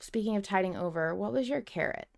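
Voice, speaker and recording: A woman's voice: mid-range voice, animated, fast talker, gravelly, darkish tone. A woman co-hosting a podcast in casual fan chat, talking effusively and emphatically and swearing freely. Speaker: podcast co-host. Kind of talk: casual fan discussion of an audio drama.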